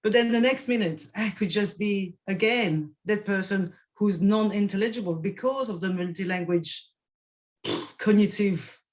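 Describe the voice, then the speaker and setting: A woman with a French accent, speaking in a reflective group discussion, halting and restarting often. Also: mid-range voice, darkish tone. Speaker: panel participant.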